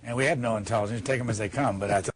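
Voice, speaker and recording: A man hosting a live call-in program in measured talk, with a husky voice. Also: medium pitch, slight background noise. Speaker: call-in show host.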